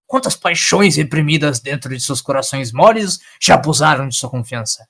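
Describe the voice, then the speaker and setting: An indignant old time lord from an audio story, acted out by a male narrator, scolding everyone around him. He is loud and forceful, with sarcastic questions pitched above an ordinary speaking voice. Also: expressive, moderate pace, gravelly, exaggerated voice. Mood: accusing, indignant. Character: furious accusing old male alien time traveler.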